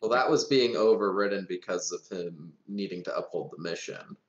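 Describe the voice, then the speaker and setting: A man's voice: low-pitched, moderate pace, roomy sound, dull audio. A man chatting casually as a player in a tabletop game. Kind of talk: casual chat.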